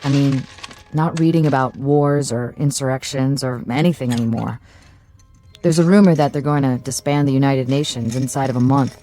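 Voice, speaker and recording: A quiet, uneasy assistant with a low, mellow voice. She speaks thoughtfully, with soft music faintly behind her in an audio drama. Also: steady tone, moderate pace. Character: uneasy female assistant code breaker. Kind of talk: radio drama acting.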